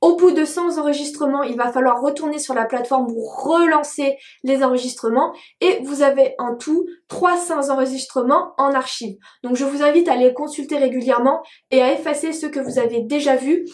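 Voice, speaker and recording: A woman's voice: high-pitched, brisk, hardly any pauses, roomy sound. A woman coaching online sellers, explaining a web tool in a chatty tutorial with frequent fillers. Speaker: e-commerce coach. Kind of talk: screen-share tutorial.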